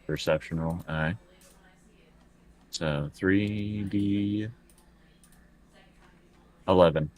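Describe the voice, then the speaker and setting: A man's voice: low-pitched, very slow, long pauses, a little mellow. A man playing a tabletop roleplaying game, chatting casually and hesitantly as he decides his moves.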